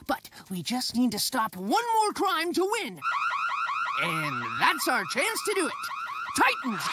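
A man voicing a bossy, high-strung young male superhero team leader in a cartoon, with a dramatic, commanding delivery. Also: exaggerated voice. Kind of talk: animated cartoon voice acting. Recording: loud music underneath.

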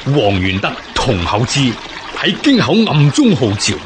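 Resolute rebel general, animated delivery. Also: male voice, medium pitch, measured pace, background noise. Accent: Hong Kong Cantonese. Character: resolute male rebel general of ancient China. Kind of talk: historical radio drama acting.

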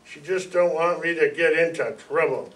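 Polite lovesick radio thug, an older man acting: folksy, apologetic, forceful edge, slightly high, noir style. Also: moderate pace, close to an everyday voice. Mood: lovesick, menacing. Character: polite, lovesick, simple-minded male ex-convict with gun. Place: staged reading in a community hall. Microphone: stand microphone, heard from a far camera. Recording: distant room mic, some noise.

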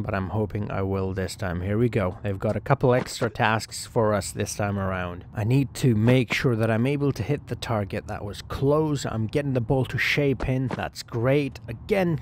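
A man's voice: lowish voice, moderate pace, hardly any pauses. Man narrating game play over background noise. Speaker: gaming video host. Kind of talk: first-person story narration over sports game play. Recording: clear background noise and ambience.

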